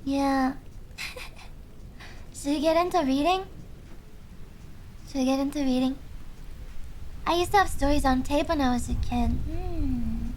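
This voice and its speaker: A young woman streaming, chatting softly and hesitantly with viewers with many fillers. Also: sits high, slight background noise. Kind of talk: casual chat with viewers. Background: faint background music.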